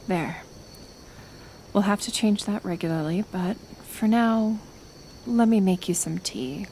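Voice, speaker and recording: A woman acting a kind but stubborn reclusive healer in an ASMR roleplay, gentle yet firm, unhurried, with sighs and pauses. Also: medium pitch, a little hiss or hum. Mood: calm, firm.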